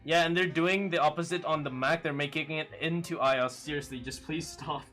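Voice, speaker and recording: A man's voice: a little high, fairly quick, faint music behind. A man in his early twenties hosting a stream, chatting casually and answering viewer comments with fillers and frequent laughs. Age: 20s. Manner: relaxed, chatty, laughs often, many fillers. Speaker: livestream host.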